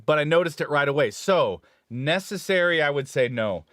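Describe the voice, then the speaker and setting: A man's voice: a little high, lively intonation, normal speed, fairly bright tone, no echo. A man casually chatting about studio gear on a live stream, rambling and self-correcting as he talks. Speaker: studio engineer co-host.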